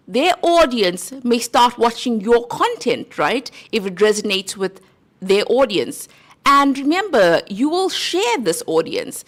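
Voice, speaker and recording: A woman with a South African accent talks straight to her viewers in an easy, conversational way. Her voice sits a little high, and she keeps checking in with a quick "right?". It sounds like earnest, practical creator advice. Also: a bit bright. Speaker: YouTube creator. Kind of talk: casual talking-head advice.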